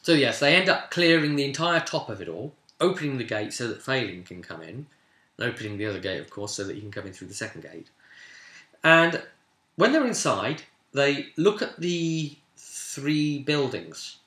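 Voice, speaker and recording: A man's voice: medium pitch, very animated, normal speed, bright, forceful tone. A man casually recapping a tabletop role-play session as game master, telling it like a story and breaking off into asides. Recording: roomy, echoey room sound.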